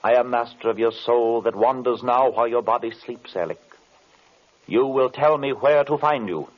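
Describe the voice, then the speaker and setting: An actor playing a solemn, commanding magician in old-time radio drama style, speaking in an incantatory way. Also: male voice, medium pitch, normal speed, muffled recording, lightly acted. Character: commanding male magician, mesmerist with paternal menace.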